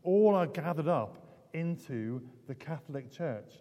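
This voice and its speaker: In a long, ringing church reverb, a British man delivers a sermon in a fairly high voice. He is middle-aged and sounds like he is losing his voice. He explains in a conversational way, often hesitating and correcting himself. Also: animated, unhurried, mellow. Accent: British English. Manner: hesitant, self-correcting, repeats words. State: losing his voice, throat clearing.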